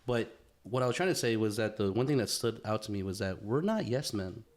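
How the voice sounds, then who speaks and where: A man's voice: on the low side, animated, a little fast. A man in his thirties chatting casually as a podcast co-host, informal and sweary, often repeating phrases for emphasis. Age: 30s.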